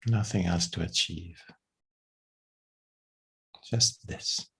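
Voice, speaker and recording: A man leading a guided meditation in a gentle, unhurried way, with long pauses between phrases. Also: deep voice, creaky, slightly rough.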